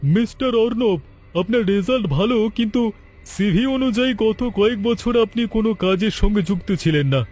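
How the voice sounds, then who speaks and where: A high male voice from an actor plays a formal cartoon interviewer. He questions in a probing, official manner. Faint music runs behind him. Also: expressive, moderate pace, a little mellow. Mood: formal scrutiny. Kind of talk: cartoon voice acting.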